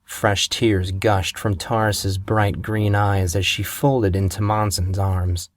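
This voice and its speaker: A man narrating a fantasy novel in a measured, steady storytelling manner, recorded on a close mic. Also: low-pitched, no room sound.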